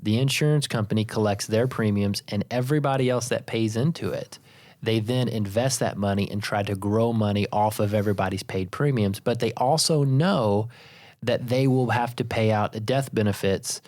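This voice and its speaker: A man with a lowish voice co-hosting a podcast, explaining things plainly and step by step. His close studio mic sounds dry. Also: moderate pace, a little mellow, no room sound. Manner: teasing in banter, explains step by step. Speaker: podcast co-host, insurance agency leader. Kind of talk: casual podcast chat.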